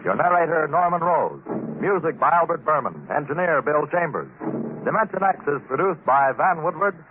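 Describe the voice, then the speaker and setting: A man announcing the closing credits of a 1950s radio drama in a crisp, formal manner. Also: a little high, sing-song, normal speed. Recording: scratchy tinny old radio broadcast.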